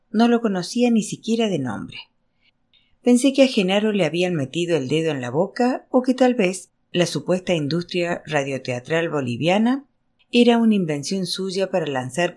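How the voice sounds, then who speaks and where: A woman reading literary fiction aloud as scripted narration, in an expressive storytelling manner. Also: lowish voice, normal speed. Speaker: audiobook reader.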